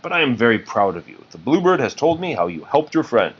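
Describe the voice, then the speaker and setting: A man voicing a majestic, kindly stag king and proud father, with a deep voice, in a storybook. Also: big pitch swings, a little fast, breathy edge, highs cut off.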